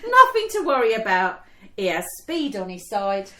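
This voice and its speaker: An actress playing a kindly, timid young woman in a wartime radio drama, with a gentle, proper manner. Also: medium pitch, sing-song, moderate pace. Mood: comforting. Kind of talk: period war radio drama acting. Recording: echoey with faint noise.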